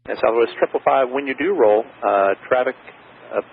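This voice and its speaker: A man's voice: mid-range voice, normal speed, creaky. A man working as an airport tower controller, giving procedural instructions with casual asides over aviation radio. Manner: procedural phrasing mixed with casual, hesitant asides. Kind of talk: air traffic radio instructions. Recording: narrow, tinny aviation radio with faint static.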